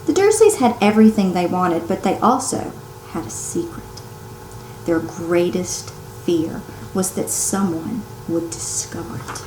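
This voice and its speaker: A woman reads a story aloud in a roomy, echoing space with light noise in the recording. Her pitch swings widely as she stresses key words and pauses dramatically. She drops into hushed tones to build suspense, in the style of a teacher reading to children. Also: lively intonation. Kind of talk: expressive read-aloud storytelling. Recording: roomy echo, some background noise.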